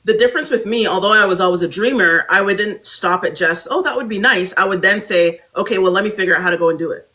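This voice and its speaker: A young adult woman from Barbados, a guest speaker telling her story in an animated, reflective conversational way. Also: medium pitch, quick pace, hardly any pauses.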